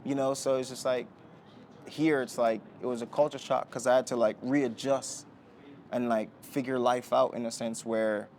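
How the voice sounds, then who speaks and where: A young man from Jamaica talking as a podcast guest, relaxed and rambling with many fillers and restarts. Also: medium pitch, normal speed, a little hiss or hum. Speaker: guest, Olympic sprinter. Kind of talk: casual interview talk. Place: indoor podcast setting.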